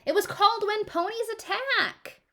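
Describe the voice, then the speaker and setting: A woman's voice: very high voice, moderate pace, a bit bright, pitched above the performer's own voice. A woman voicing a dramatic, stubborn, frightened little girl from a storybook, in a childlike, emphatic way. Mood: insistent, hollering.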